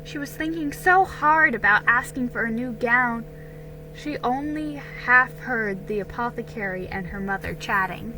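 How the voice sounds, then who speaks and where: A woman giving an expressive, deliberate dramatic reading of a children's historical storybook. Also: a little high, music in the background, rough recording. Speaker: storybook reader.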